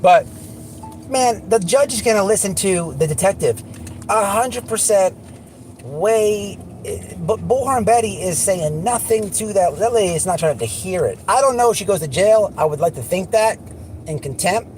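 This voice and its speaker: A man's voice: high voice, lively intonation, normal speed, darkish tone. A man hosting a casual livestream, talking in a blunt, slangy, profane way. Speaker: livestream host. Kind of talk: casual livestream chat and commentary. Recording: noisy recording with car sounds.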